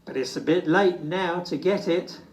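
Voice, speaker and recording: A storybook reader voicing a kindly, sensible old male toy bear with a gentle, reassuring manner. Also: mid-range voice, normal speed, voice pushed up, lightly acted. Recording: echoey room, distant from mic.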